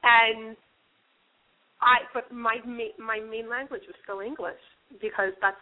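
A woman's voice: on the high side, steady tone, a little slow. A young woman from New Jersey, a guest in a casual interview, rambling with many restarts and fillers. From New Jersey, USA. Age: young adult. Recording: tinny phone-like radio line.

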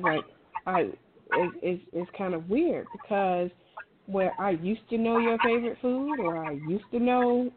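A middle-aged woman talking casually, giving emphatic, encouraging advice in a colloquial way. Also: medium pitch. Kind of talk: casual conversational talk, advice. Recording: thin phone-like sound with clear noise.

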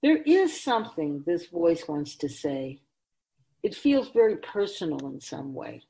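A woman playing an otherworldly feminine spirit in a radio drama, speaking in a deliberate, emphatic, oratorical way, grave and candid. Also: on the low side, very animated, warm tone. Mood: solemn. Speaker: spirit guide being interviewed. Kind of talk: radio drama monologue, reflective.

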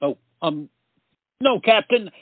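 A man acting a deferential, worried soldier friend in a stage comedy. His voice is high and comes through dry, tinny, narrow sound. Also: expressive, takes it slowly, no room sound, exaggerated voice. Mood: concerned, polite. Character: concerned, deferential soldier comrade.

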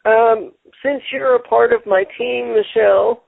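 A man hosting a casual radio show, talking haltingly and repeating words, with many fillers. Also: very high-pitched, fairly even intonation, vocal fry, dry recording. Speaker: show host. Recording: tinny phone-line sound.

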